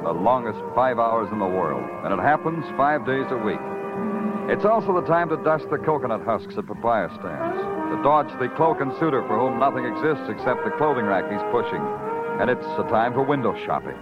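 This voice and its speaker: An actor playing a world-weary, hard-boiled New York police detective in a 1950s radio drama, clipped and moody. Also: male voice, mid-range voice, sing-song, a little fast, natural voice. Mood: brooding narration. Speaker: lead actor and narrator. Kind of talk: 1950s radio crime drama acting and narration. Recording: dull vintage radio recording with music.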